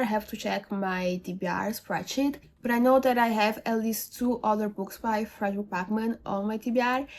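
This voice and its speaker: A woman's voice: fairly high pitch, darkish tone. A woman whose first language is not English, giving a casual, rambling book review with many fillers and self-corrections. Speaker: book vlogger.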